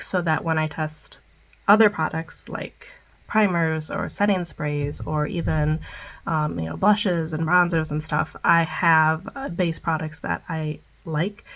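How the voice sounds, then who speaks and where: A woman's voice: deep voice, fairly bright tone. A young woman with a Midwestern American accent and a stuffed-up nose, chatting casually through a makeup wear-test vlog with many ums. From Midwestern United States. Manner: chatty, many ums, reads product details. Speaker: beauty vlogger.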